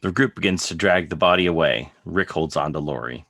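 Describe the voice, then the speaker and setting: A man with a husky voice narrating scripted scene directions for a dramatic table read. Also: low-pitched, a little fast, a bit bright. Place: remote video call read. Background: music under the voice.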